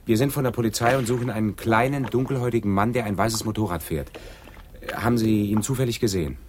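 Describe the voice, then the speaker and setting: Male actor as formal, urgent cop in radio crime play; rapid, lowish voice, faint noise behind. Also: darkish tone, natural voice. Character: brisk, polite male police officer questioning a witness. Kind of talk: radio crime drama acting.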